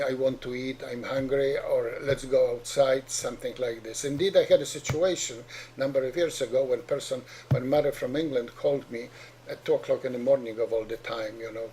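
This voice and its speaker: A man's voice: mid-range voice, darkish tone, slight background noise, low-quality recording. A middle-aged man from Poland with a heavy Polish accent, a doctor giving an earnest explanatory talk to parents, often repeating and restarting words.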